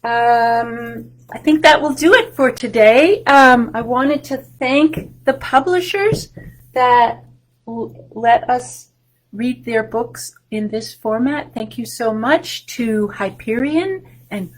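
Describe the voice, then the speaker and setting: A woman in her fifties, a children's librarian leading a storytime at home, reading and singing with animated, clear delivery over a webcam. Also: on the high side, low-quality recording. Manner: animated, sing-song, slow and clear. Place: home room with bookshelves. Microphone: webcam on a video call.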